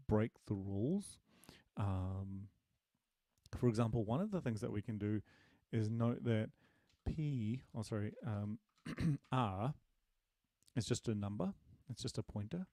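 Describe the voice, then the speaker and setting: A man's voice: on the low side, expressive, slow, gravelly, mellow, dry recording, clean studio sound. A man teaching programming on a casual live stream, answering questions and thinking aloud with many fillers and restarts, friendly and self-deprecating. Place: home desk, dim room.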